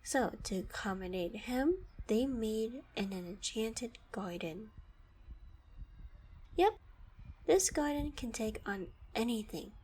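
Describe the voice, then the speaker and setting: Woman acting a breathy, gentle young queen. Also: fairly high pitch, heavily acted, rough recording. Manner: soft, breathy, dropping into whispered asides. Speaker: voice actor in audio roleplay. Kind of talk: scripted ASMR roleplay acting.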